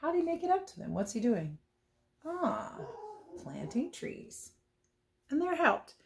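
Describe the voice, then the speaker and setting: A woman reading a picture book aloud as a teacher would, expressive and playful, with chatty asides about the pictures. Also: high-pitched, a little slow, creaky. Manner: playful, chatty, commenting on the pictures as she goes. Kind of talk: storybook read-aloud with casual asides.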